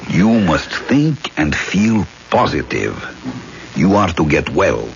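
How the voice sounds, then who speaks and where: An actor playing an imperious doctor in a 1970s radio drama, speaking with deliberate, authoritative, hypnotic insistence. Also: male voice, deep voice, sing-song, gravelly, full character voice. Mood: stern, insistent. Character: commanding, imperious healer doctor treating by suggestion. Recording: muffled old radio recording with faint noise.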